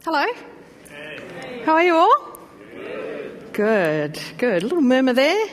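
A woman's voice: high voice, wildly swooping pitch, takes it slowly, long reverb tail. A woman with a New Zealand accent preaching a sermon in a church, chatty and talking straight to the congregation. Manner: chatty, warm asides to the congregation. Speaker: pastor. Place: church hall.

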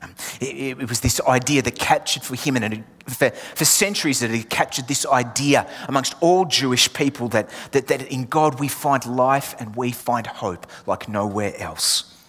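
An English-born man with an Australian accent preaches, repeating key lines with rising force and sinking almost to a whisper. His voice sits at an ordinary pitch for a man. A long reverb trails each phrase, as in a large hall. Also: normal speed, fairly bright tone, breathy edge. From England. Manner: emphatic, repeats refrains, drops to near whisper. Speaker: pastor. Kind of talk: sermon.

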